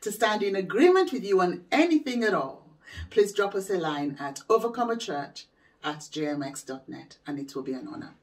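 A woman's voice: medium pitch, lively intonation, normal speed. A middle-aged woman speaking as a church leader, offering encouragement and prayer. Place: small room at home, indoors. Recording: slightly distant from the mic.

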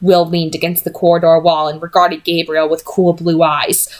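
A woman's voice: lowish voice, level tone, normal speed. A young adult woman reading a fantasy novel aloud as an amateur narrator. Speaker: amateur book reader. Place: small home room, indoors.

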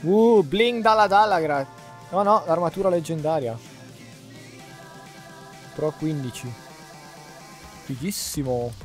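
A man's voice: on the high side, takes it slowly, pauses often. A man with an Italian accent giving casual gameplay commentary while playing with friends. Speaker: gaming YouTuber. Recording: faint music behind.

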